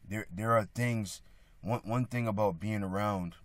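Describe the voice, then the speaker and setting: A man with a slightly raspy, hoarse voice giving a casual religious talk, halting with many restarts and frequent throat clearing. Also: fairly low pitch, dry recording. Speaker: religious video speaker.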